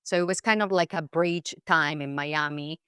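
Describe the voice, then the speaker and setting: Kobieta o niskim głosie z kolumbijskim akcentem. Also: female voice, low voice, fairly even intonation, normal speed, fairly bright tone, clear, resonant tone, no room sound, clean studio sound. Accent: Colombian Spanish. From Colombia. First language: Spanish. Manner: reflective, many fillers and restarts. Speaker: guest actress. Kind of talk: casual interview chat.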